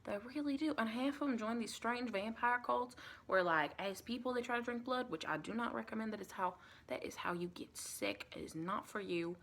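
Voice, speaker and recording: A woman playing a chatty, scatterbrained female vampire recruiter in a softly spoken ASMR roleplay, often slipping into breathy whispers. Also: fairly high pitch, a little fast. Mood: gently cautioning. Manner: rambling, flighty, sprinkles in pet names like sugar and sweetie. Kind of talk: ASMR roleplay, softly spoken interview with pauses for unheard replies.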